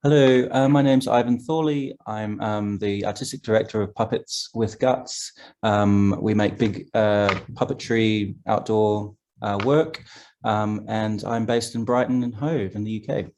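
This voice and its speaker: A man's voice: fairly low pitch, fairly even intonation, unhurried, darkish tone, slight background noise. A man introducing himself as an artistic director, hesitant with many fillers. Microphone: video call.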